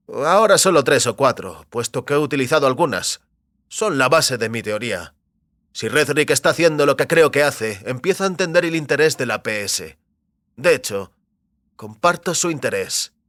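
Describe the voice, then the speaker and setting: An actor playing a wary, determined man trapped between powerful forces, speaking deliberately in an audio drama. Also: mid-range voice, animated, a bit bright, no room sound, studio quality, natural voice. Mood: explaining, intent.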